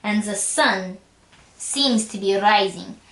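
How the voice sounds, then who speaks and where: A man's voice: sits high, takes it slowly, a bit bright, voice pushed up, exaggerated voice. A man voicing a boastful, crude Russian man in a comic monologue, with an exaggerated mock Russian accent and broken grammar. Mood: deadpan bragging. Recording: roomy echo with faint music.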